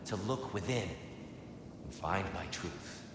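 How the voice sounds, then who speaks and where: A man voicing a solemn figure who slowly intones a warrior's vow in a video game. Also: low-pitched. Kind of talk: dramatic video game voice acting. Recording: vast reverberant hall, distant, noisy.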